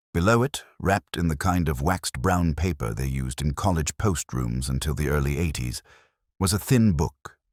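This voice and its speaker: Male narrator, extremely low voice, expressive rise and fall, deliberate brooding mystery read, dry close recording. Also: dry recording. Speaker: narrator, speaking as a detective. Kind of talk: scripted first-person literary mystery narration.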